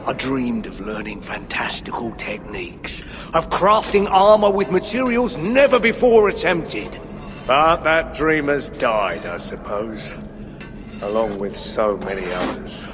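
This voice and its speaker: A man's voice: mid-range voice, very animated, takes it slowly. A man playing a hearty, dreamy dwarf blacksmith scholar in a video game, savouring his words theatrically. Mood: wistful. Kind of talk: video game cutscene acting. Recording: long cavern reverb, noisy ambience.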